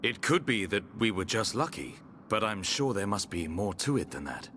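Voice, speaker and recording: A man's voice: fairly low pitch, sing-song, moderate pace, sharp tone, a little hiss or hum. A man playing a thoughtful, guarded warrior in a video game, considered and alert. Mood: pondering. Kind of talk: video game cutscene acting.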